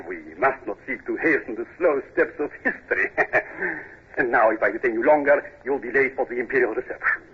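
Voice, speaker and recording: An actor playing a suave, evasive, courtly diplomat in an old-time radio drama, speaking in a hesitant, flowery, unctuous manner. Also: male voice, mid-range voice, normal speed, breathy edge. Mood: smooth, evasive. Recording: dull, noisy old radio recording.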